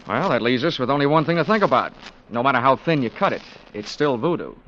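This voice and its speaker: A man's voice: mid-range voice, animated, quick pace, a bit bright, slight background noise, close to an everyday voice. A man playing a wisecracking American investigator in a 1940s radio drama, clipped and sardonic. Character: wisecracking, hard-boiled American insurance investigator. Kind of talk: 1940s radio acting, first-person narration. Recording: dull vintage radio recording, music behind.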